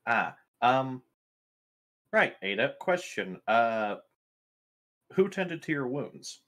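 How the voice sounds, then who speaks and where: A man playing a tabletop roleplaying game, asking questions in a casual, methodical way with hesitant pauses. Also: mid-range voice, big pitch swings, fairly bright tone.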